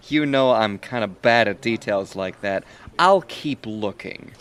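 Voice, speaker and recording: Slow, hesitant actor as a sheepish office guy. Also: lowish voice, fairly bright tone. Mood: evasive. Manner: hesitant, evasive. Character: sheepish, evasive, put-upon male office worker. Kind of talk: audio theatre comedy acting.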